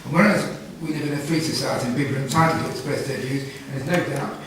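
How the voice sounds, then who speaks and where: British male councillor, formal meeting debate, higher-pitched voice, halting delivery, recorded at a distance. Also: fairly even intonation, background noise. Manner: halting, repeats phrases. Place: town hall committee room. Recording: echoey hall, distant mic, audience murmur.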